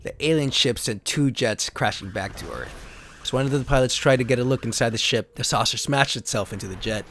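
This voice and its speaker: A man's voice: medium pitch, brisk, a bit bright, a little hiss or hum. A man reading a retro sci-fi story as a theatrical, solemn narrator. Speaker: host and reader.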